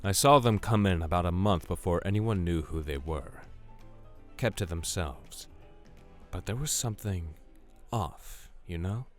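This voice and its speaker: A man's voice: a little slow, soft background music. A man playing a wry, century-old male vampire who talks conversationally in an audio drama. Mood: wry, confiding. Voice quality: low-pitched.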